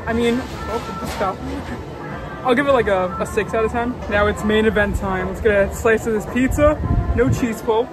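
A man's voice: sits high, moderate pace. Chatty young man with music under him. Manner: chatty, frank, says "like" and "literally" a lot. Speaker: vlogger. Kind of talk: casual food-review vlog. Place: outdoors at a theme park.

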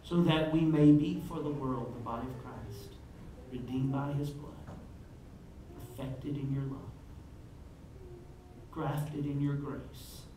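Earnest pastor in an echoey sanctuary. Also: male voice, medium pitch, fairly even intonation, background noise. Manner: earnest, measured, trailing off softly at phrase ends. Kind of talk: communion prayer and liturgy. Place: church sanctuary with stage. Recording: echoey sanctuary heard from far off.

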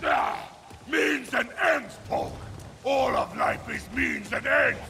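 An actor playing a hulking, blunt supervillain with a gravelly voice, a Russian accent and clipped broken English in a video game. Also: male voice, extremely high pitch, takes it slowly, exaggerated voice. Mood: menacing. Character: hulking, blunt brute supervillain in an armored suit. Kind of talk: video game villain acting. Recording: loud game music and battle noise.

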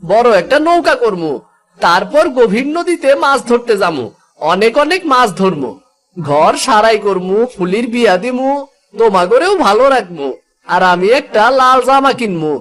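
Man voicing a very high-pitched eager village boy. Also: expressive, moderate pace, a bit bright. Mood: hopeful. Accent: rural Bangladeshi. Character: eager, loving young fisherman's son. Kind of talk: cartoon voice acting.

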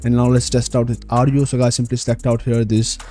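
A man's voice: mid-range voice, flat delivery, fast, dark tone. A man narrating a screen tutorial as host, repeatedly addressing viewers directly. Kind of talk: screen-recorded tutorial voice-over.

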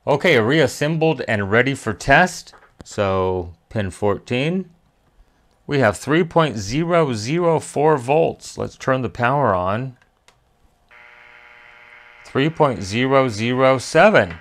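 A man's voice: medium pitch, lively intonation, takes it slowly. A middle-aged American man narrating a hands-on electronics repair tutorial in a methodical, plain-spoken way. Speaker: electronics repair video host.

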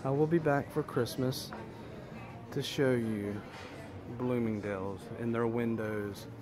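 Slow-talking man filming in a store. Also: mid-range voice, steady tone, crowd noise. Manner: chatty, easily delighted, narrating as he walks. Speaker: travel vlogger. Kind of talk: casual walkthrough vlog. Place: large department store, indoors.

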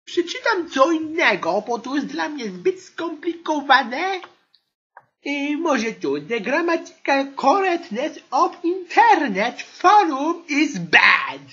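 A woman's voice: high voice, sing-song, slow, fairly bright tone, muffled recording, full character voice. A woman playing an exasperated female English teacher in an amateur comedy audio sketch, whining and grumbling as she scolds her class. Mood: flustered, exasperated.

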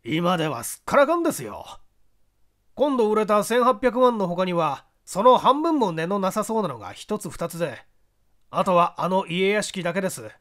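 A man's voice: high voice, very animated, moderate pace, fairly bright tone. A man voicing a forthright businessman giving conversational testimony in a mystery story. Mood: matter-of-fact. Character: male business associate giving forthright, irritated testimony to investigators.

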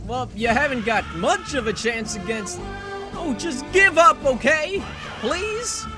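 A man's voice: very high voice, expressive, slow pace, music in the background, full character voice. A man voicing a pompous, easily flustered Old West marshal in a theatrical fan comic dub. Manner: grandiose, theatrical.

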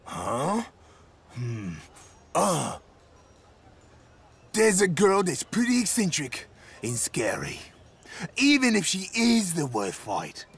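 Very husky gravelly young man, scruffy excitable game drifter, grumbling, slow delivery. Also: fairly high pitch, exaggerated voice. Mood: content, musing. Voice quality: raspy, gravelly. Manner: slouchy grumbling that jumps to yelps. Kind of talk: video game cutscene acting.

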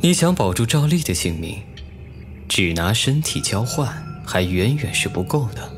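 An actor playing a scheming, lecherous young official in a Chinese costume audio drama, smug and deliberate in manner. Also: male voice, on the low side, sing-song. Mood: cool, persuasive. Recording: music under the voice.

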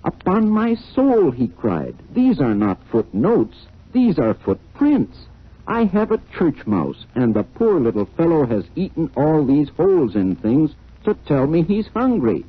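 A man's voice: fairly high pitch, wildly swooping pitch, measured pace, husky, full character voice. A man theatrically narrating a 1940s children's story, acting out the characters. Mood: astonished, kindly. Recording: tinny, muffled old 1940s recording.

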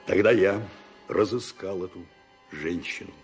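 An actor playing a vengeful, grieving executioner, speaking in a solemn, halting, weighty way in a radio drama. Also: male voice, low voice, faint music behind. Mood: grim, sorrowful. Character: vengeful, grim executioner mourning his brother. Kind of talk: 1990s Russian radio drama acting.

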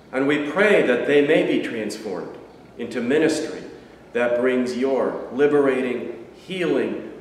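An older man gives a solemn benediction at an unhurried pace. His voice sits in the middle range. The sound comes from across a reverberant church sanctuary with long echo. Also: mid-range voice, slight background noise. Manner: slow, solemn liturgical delivery. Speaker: pastor. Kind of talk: prayer and benediction. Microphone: far camera. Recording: echoey sanctuary from far off.

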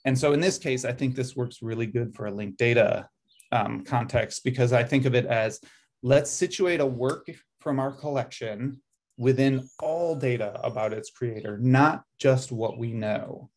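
A man's voice: mid-range voice, normal speed, a little mellow. A middle-aged man giving a presentation over a video call with shared slides. He thinks aloud, with frequent ums and self-corrections. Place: indoor room at a desk.

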